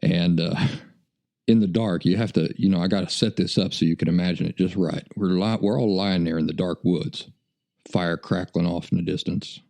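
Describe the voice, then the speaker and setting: A man's voice: deep voice, fairly even intonation, normal speed, vocal fry, a little mellow. An American man hosting a podcast, telling stories in a folksy, self-deprecating way. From United States. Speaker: podcast host. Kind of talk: casual storytelling monologue.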